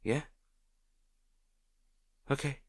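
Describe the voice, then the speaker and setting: A man playing a gentle, protective boyfriend in an intimate roleplay audio, speaking in a tender, reassuring way. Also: extremely slow, no echo, clean studio sound. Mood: tender, soothing. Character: gentle, protective boyfriend comforting a distraught partner.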